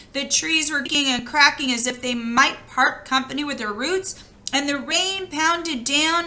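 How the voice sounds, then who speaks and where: A woman reading a story aloud in an expressive, conversational way, as if talking with her listeners. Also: high voice. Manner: expressive, conversational, pausing to comment. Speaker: storybook reader. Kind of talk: read-aloud storytelling with chatty asides to listeners.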